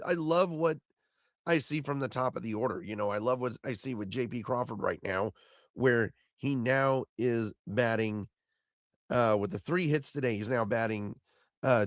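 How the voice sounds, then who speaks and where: A man hosts a solo postgame recap, his voice raspy and hoarse from illness and gravelly at the edges. He rambles and repeats himself in a plain middle register. The recording is dry and a little muffled. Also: mid-range voice, no echo. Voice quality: raspy, fading voice. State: hoarse from being sick. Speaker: podcast host.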